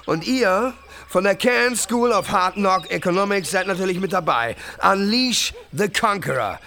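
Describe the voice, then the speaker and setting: A man's voice: high-pitched, lively intonation, unhurried, gravelly, bright, forceful tone, faint music behind, heavily acted. A man playing a slick, self-promoting influencer entrepreneur in a satirical audio drama, bragging and flirty, dropping English buzzwords. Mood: hyped, boastful. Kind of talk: satirical podcast radio drama.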